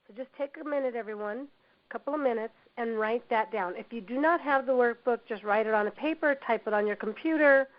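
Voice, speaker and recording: A woman with a hoarse voice from a cold, presenting a workplace training webinar in an encouraging manner, often saying "you know". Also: fairly high pitch, fairly quick. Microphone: computer mic on a webinar call. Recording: dull lo-fi web audio.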